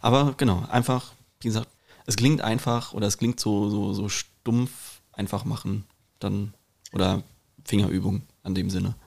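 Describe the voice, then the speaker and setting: A man's voice: fairly low pitch, fairly even intonation, normal speed, gravelly, darkish tone. A man talking in a casual podcast chat, reflective and informal, with English slang mixed in. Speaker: podcast host and painter.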